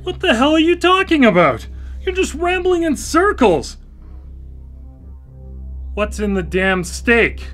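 A man's voice: extremely high pitch, very animated, slow pace, long pauses, fairly bright tone, faint music behind, exaggerated voice. A male actor in a radio play playing a dependent, easily rattled brother with a hesitant, emotional delivery. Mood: exasperated. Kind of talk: live radio play acting.

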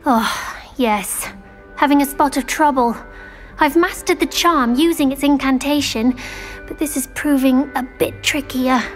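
A young woman playing an earnest, easily frustrated teenage witch with a British accent, crisp and emphatic. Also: high-pitched, fairly even intonation, unhurried, soft background music. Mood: polite, eager. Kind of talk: scripted game dialogue.

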